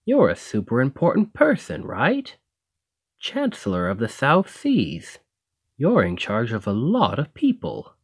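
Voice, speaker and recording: A man's voice: mid-range voice, wildly swooping pitch, unhurried, slightly rough, no echo, clean studio sound, exaggerated voice. A man playing a chatty, cheeky stand-in carriage driver in an audio roleplay, talking casually and awkwardly to the listener with sly insinuation. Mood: coaxing, sheepish. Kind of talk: ASMR roleplay acting, addressing the listener.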